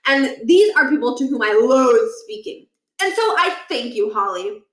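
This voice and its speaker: A woman's voice: a little high, animated, moderate pace, a bit bright. A woman voicing a pompous, power-hungry older male school principal in a children's story, lecturing with condescension and menace. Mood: scornful. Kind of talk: children's book read aloud. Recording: echoey room, distant from mic.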